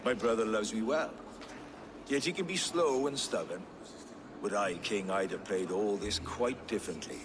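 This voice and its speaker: A man's voice: on the low side, normal speed, lightly acted. A man playing an ambitious, resentful captive prince in a video game, scheming and formal. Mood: bitter, scheming. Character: ambitious, resentful captive royal brother, male. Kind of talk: video game cutscene acting. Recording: clearly noisy ambient background.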